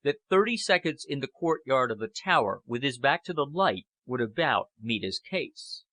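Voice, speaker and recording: A man voicing a strained, aging, patriotic Prime Minister in an audiobook, with stern, deliberate oratory. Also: medium pitch, big pitch swings, sharp tone, no room sound. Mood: stern, urgent. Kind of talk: audiobook character acting.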